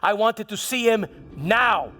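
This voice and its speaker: A man's voice: sits high, lively intonation, bright, forceful tone, slight background noise, heavily acted. A man playing a troubled Roman governor in a theatrical monologue, stammering and defensive as he justifies himself. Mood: uneasy recounting. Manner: halting, stammering, self-justifying, emphatic. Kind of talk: theatrical church monologue, first-person dramatization. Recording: long reverberant echo of a hall.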